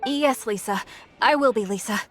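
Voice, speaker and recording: A woman's voice: on the high side, moderate pace, a bit bright, a little hiss or hum, close to an everyday voice. A woman voicing a chatty, eager shopkeeper in a video game, bubbly and salesy.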